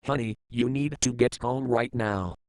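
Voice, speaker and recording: A man's voice: mid-range voice, unhurried, a little mellow, smooth, dry recording, natural voice. A man playing a blunt facility worker and husband in an amateur audio drama. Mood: urgent, concerned. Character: male containment facility staffer, blunt, worried husband.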